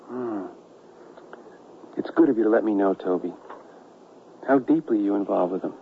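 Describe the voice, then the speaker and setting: An actor playing an earnest, brave reporter and loyal friend, emphatic and concerned, in old-fashioned radio drama style. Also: male voice, on the low side, normal speed, vocal fry, narrow, tinny audio, slight background noise. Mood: probing. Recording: band-limited radio broadcast.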